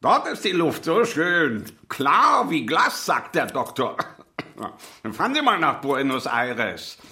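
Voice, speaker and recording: Old tailor, grumpy and dreamy, bright forceful voice, theatrical radio play. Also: male voice, fairly high pitch, sing-song, heavily acted. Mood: dreamy, wistful. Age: older. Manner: theatrical, slow, repeating himself. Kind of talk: German children's radio play acting.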